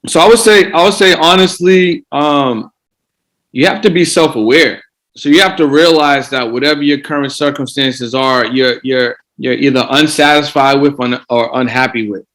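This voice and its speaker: A man's voice: mid-range voice, moderate pace, fairly bright tone. Man coaching, repeats himself. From Boston. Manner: repeats words while gathering thoughts. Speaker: guest coach. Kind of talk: casual podcast interview.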